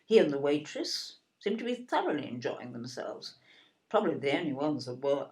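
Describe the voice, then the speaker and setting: A woman's voice: deep voice, sing-song, normal speed, darkish tone. An actress playing a snobbish older mother from southern England in an audio play, genteel in accent, gushing and condescending in manner. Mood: disdainful. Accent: southern English, genteel. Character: snobbish, gushing, genteel middle-class English mother-in-law type.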